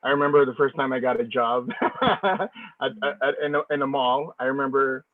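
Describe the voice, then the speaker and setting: A man's voice: mid-range voice, moderate pace, no room sound. Filipino-born man on a tinny call. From Philippines. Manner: many restarts and repeated words. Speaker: guest. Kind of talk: casual video call chat. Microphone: video call. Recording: tinny phone-like dry sound.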